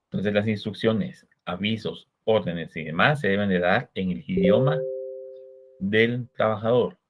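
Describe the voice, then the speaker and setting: A Guatemalan man with a Guatemalan Spanish accent giving a recorded law lecture, explaining in a measured way and posing rhetorical questions to his students. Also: on the low side, breathy edge, faint music behind. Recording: dull lo-fi recording.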